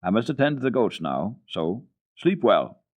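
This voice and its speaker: A man voicing a kindly old grandfather in a children's storybook, speaking in plain, measured instructions. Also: fairly low pitch, big pitch swings. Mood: instructing.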